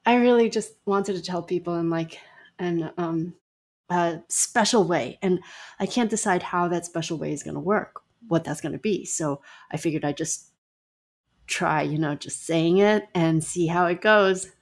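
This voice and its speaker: An actress playing an awkward, breezy bride-to-be in a comedy audio drama, chatty and flustered. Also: female voice, fairly low pitch, normal speed. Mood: sheepish, candid. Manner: flustered, chatty, full of filler words.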